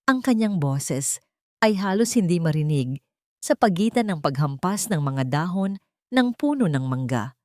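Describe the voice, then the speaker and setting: Woman, low voice, solemn storyteller, deliberate dramatic narration, dry sound. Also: big pitch swings, darkish tone, no echo.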